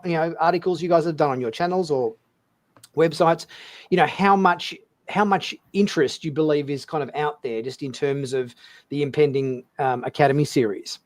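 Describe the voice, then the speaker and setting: A man hosting a casual livestream panel chat, speaking in a hesitant, rambling way. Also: on the high side. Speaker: host.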